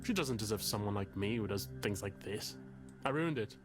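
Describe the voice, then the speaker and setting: An actor playing an anxious, bookish, self-pitying man in a game, talking in a wordy, halting, confessional way. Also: lowish voice, lively intonation, over music, lightly acted. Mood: anguished, self-pitying. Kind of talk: confessional dramatic game dialogue.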